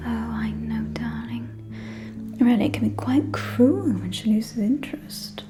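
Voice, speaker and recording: A woman's voice: a little high, steady tone, over music. A woman playing a catty, gossiping aristocratic vampire lady, speaking in an arch, conspiratorial manner in an ASMR roleplay. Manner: arch, conspiratorial, drawn-out, with sighs and soft chuckles.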